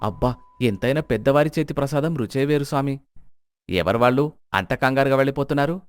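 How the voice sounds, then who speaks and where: A man's voice: medium pitch, a little fast, clear, resonant tone, no room sound, lightly acted. A man voicing a sly village beggar in a moral story, theatrical and sarcastic, full of mock pity. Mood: mock awe. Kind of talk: Telugu moral-story character acting.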